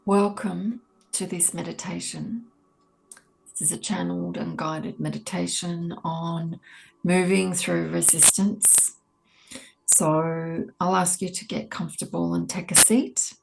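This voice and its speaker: A woman leading a guided meditation, speaking gently and in a measured way with long pauses between soothing instructions. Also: lowish voice, fairly even intonation, vocal fry, noisy background. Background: soft ambient meditation music.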